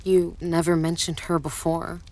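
A woman's voice: lowish voice, little pitch movement, unhurried, darkish tone, slight background noise, close to an everyday voice. A woman playing a wary, challenging leader of covert helpers in an audio drama, blunt and questioning. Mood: probing.

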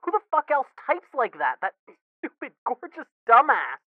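A man's voice: extremely high pitch, huge pitch swings, normal speed, no room sound, heavily acted. A man playing an emotional, foul-mouthed young brother in a fan fiction audio drama, stammering and blurting over a phone filter. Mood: overwhelmed joy. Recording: thin phone-like band, dry.

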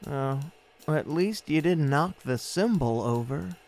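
A woman's voice: low voice, expressive, slow pace, darkish tone, faint music behind. A woman hosting a comedy podcast, with a sardonic manner. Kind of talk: comedy podcast sketch and sign-off.

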